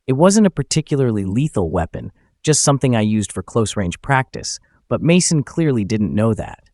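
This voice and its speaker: A man's voice: medium pitch, sing-song, brisk, a little mellow, no room sound. A man reading a scripted first-person story as narrator, acting out the dialogue as he goes. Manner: acts out the dialogue.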